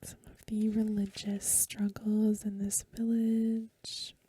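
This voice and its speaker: A woman reads a story in a gentle ASMR whisper. Her voice is very soft, dark and extremely breathy. She takes her time, sounding hushed and close to the mic in a dry space. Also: medium pitch, flat delivery, slow pace, dry recording. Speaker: ASMR reader and host.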